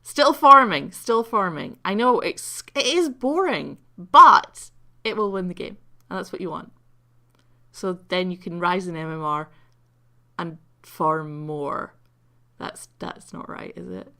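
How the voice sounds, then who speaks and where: A woman talks through a video game replay in a loose, rambling way, full of ums and jokes at her own expense. Her low voice is croaky from sleep, with a gravelly fry and a bright, sharp edge. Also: lively intonation, takes it slowly. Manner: rambling and self-mocking, with many ums. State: croaky from just waking up. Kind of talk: casual replay commentary.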